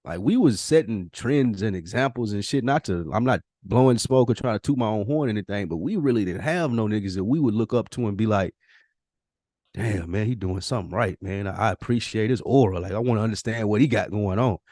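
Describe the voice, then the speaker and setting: A man with a low American voice talks as a podcast host, loose and slangy. He sounds appreciative and conversational, and the recording is close and dry. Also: a little fast, darkish tone, dry recording. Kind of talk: casual conversational podcast talk.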